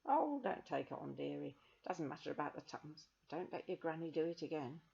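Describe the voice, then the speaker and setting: A woman voicing a kind, motherly woman customer speaking gently. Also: sits low, sing-song, a little fast, gravelly, breathy edge, highs cut off, heavily acted. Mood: soothing.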